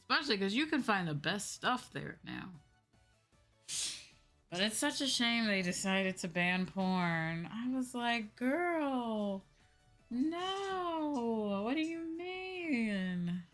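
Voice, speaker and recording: A woman's voice: medium pitch, a bit bright. A young woman streamer chatting casually and informally with her viewers on a livestream. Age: young adult.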